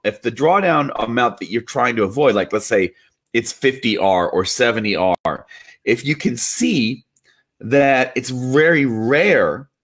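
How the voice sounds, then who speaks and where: A man getting over a cold, hosting a relaxed instructional talk on trading, explaining step by step with a few fillers and restarts. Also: medium pitch. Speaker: trading educator and channel host. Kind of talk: casual instructional talk to camera.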